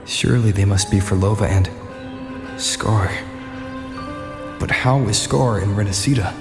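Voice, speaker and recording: An actor playing a calm, thoughtful wandering hero in a video game, with a measured, reassuring manner. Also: male voice, fairly low pitch. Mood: pensive. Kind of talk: scripted game dialogue. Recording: studio voice under prominent game music.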